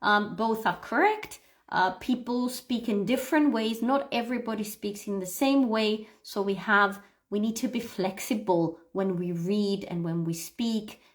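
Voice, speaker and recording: A woman hosting a live English pronunciation lesson, explaining things to viewers in an instructive, expressive way with emphasis and frequent checks for understanding. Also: medium pitch, a little slow. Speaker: English pronunciation teacher, livestream host. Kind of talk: live lesson talking to viewers, demonstrating read-aloud.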